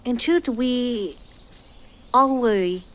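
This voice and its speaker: A woman's voice: fairly high pitch, slow, fairly bright tone. A woman playing a worried young witch sister in a period audio drama, with hesitant, old-fashioned phrasing.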